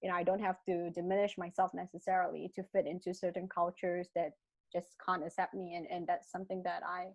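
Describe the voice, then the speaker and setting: A woman's voice: fairly low pitch, a little fast, dry recording. Woman with mixed British-Malaysian accent. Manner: animated, frequent restarts, laughs between thoughts. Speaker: guest. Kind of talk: casual interview talk. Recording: dull lo-fi dry sound.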